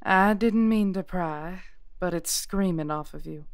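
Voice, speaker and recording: A woman playing a calm, perceptive witch in an audio drama, speaking in a measured, earnest way. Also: fairly low pitch, dry recording, clean studio sound. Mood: gentle, knowing. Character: calm, perceptive female witch ally granting blessings and charms. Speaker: voice actor.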